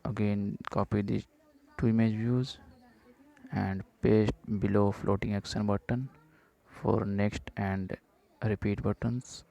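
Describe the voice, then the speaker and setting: Slow, flat, gravelly male tutorial voice. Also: lowish voice. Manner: slow, flat dictation of code steps with "uh" fillers. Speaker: coding tutorial presenter. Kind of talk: screen-recorded coding walkthrough.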